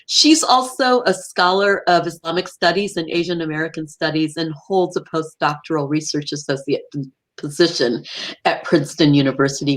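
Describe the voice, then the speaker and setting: A woman hosting an interview show, reading a formal introduction and asking measured questions. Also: sits low. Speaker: host.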